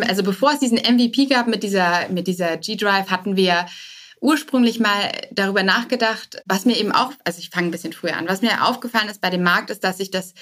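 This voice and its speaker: A woman speaks as an interview guest in a conversational, explanatory way. Her pitch sits in the middle range for a woman. She often corrects and rephrases herself, keeping the wording informal. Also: a bit bright. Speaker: guest, startup co-founder. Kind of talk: conversational podcast interview answers.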